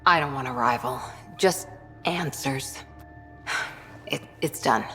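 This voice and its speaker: Low, creaky-voiced tough woman soldier. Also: takes it slowly, a bit bright, big-room sound, faint music behind, full character voice. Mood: resentful, resigned. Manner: blunt, dry. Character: guarded, tough female soldier, proud second-in-command. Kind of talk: video game dialogue acting.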